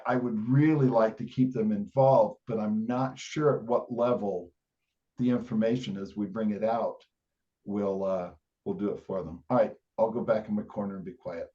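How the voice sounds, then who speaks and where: A man's voice: on the low side, steady tone, moderate pace, mellow. A man talking informally in a meeting, rambling and self-deprecating. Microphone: group teleconference call. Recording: dull lo-fi conference call audio.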